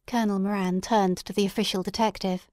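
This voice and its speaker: A woman's voice: medium pitch, steady tone, fairly quick, a little mellow, no echo, studio quality. A woman reading scripted literary narration as an audiobook narrator.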